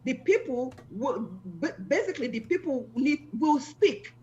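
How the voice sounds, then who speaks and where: A woman answering as a panel guest, halting and full of fillers. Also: high voice, big pitch swings, darkish tone, dull audio, a little hiss or hum. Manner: halting, many fillers and restarts.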